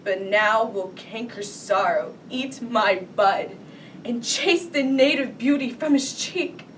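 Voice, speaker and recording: A woman performing a grief-stricken noblewoman mother in a Shakespearean stage monologue, with theatrical, drawn-out lamenting. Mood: despairing. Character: grief-stricken noblewoman mother mourning her captive young son. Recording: distant mic, rumbling background noise.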